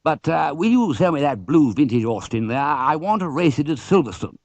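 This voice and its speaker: A British man voicing a keen, stammering gentleman racing driver in a storybook. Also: fairly high pitch, big pitch swings, moderate pace, dry recording, voice pushed up. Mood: hesitant, eager. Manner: stammering, exclamatory.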